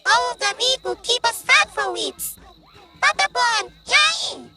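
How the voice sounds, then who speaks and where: Cartoon dub: small childlike creature soldier, extremely high and piercingly bright, excitable, over music. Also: female voice, slow pace, full character voice. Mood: excited, cheerful. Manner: exclamatory, squeaky. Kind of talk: animated comic dub acting.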